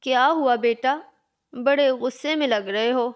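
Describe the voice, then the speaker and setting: An older mother-in-law in a cartoon family tale, acted by a woman. She speaks slowly in a fairly high voice, reasoning in a gentle, motherly way. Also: smooth. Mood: puzzled, concerned. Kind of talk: Hindi cartoon story voice acting.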